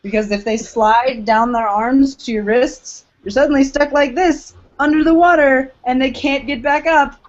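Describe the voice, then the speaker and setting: A woman's voice: high-pitched, steady tone, moderate pace, hardly any pauses. A woman co-hosting a podcast in informal, self-deprecating casual chat. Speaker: podcast co-host. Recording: muffled lo-fi podcast recording.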